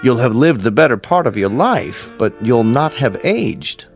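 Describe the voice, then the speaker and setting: Precise, paternal space scientist, American, middle-aged, mid-pitched, deliberate radio-drama delivery over music. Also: male voice, big pitch swings, gravelly. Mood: solemn, emphatic. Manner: deliberate, formal, emphatic. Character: grave, paternal male space-program scientist, precise and candid. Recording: music behind the voice.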